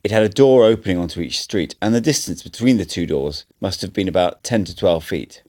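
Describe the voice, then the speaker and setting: Man, amateur audiobook narrator, low voice, animated pitch, dramatic storytelling. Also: a little fast. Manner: expressive, dramatic storytelling delivery. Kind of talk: first-person audiobook narration.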